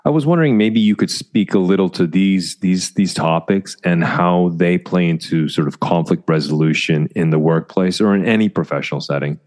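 Very deep-voiced attentive male interviewer. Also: normal speed, hardly any pauses, a little mellow. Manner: attentive, frequent "mm" backchannels, polite framing. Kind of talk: interview questions.

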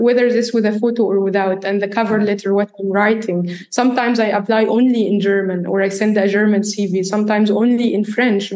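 A woman's voice: medium pitch, a little mellow, echoey room. A woman whose first language is Arabic, answering interview questions in an earnest way, often restarting sentences and checking in with "right?". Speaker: interview guest.

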